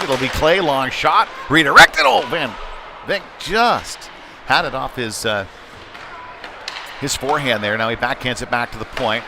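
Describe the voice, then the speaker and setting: Man calling hockey over clear background noise. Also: medium pitch. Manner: clipped present-tense phrases, conversational asides. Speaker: play-by-play hockey commentator. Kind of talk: live youth hockey play-by-play commentary. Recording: noisy rink ambience behind live commentary. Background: sports venue noise.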